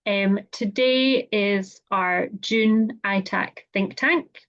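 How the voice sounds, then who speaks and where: A woman's voice: medium pitch, very slow, fairly bright tone. A woman with a Scottish accent hosts an online meeting. She talks through housekeeping in a chatty, welcoming way with frequent "um" fillers. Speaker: webinar host. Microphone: computer or headset mic on a video call. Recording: slightly dull video-call audio.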